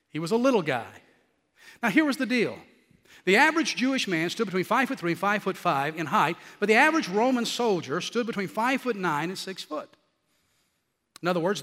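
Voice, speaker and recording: A middle-aged man preaching a sermon in a nasally, scratchy voice, animated and building to emphatic peaks. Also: sits high, long reverb tail.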